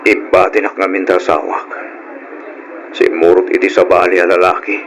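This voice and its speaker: A man's voice: low voice, level tone, moderate pace, fairly bright tone, slight background noise, low-quality recording. A Filipino man playing a troubled, hurt, anxious husband in an old radio drama. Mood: heavy-hearted, hurt.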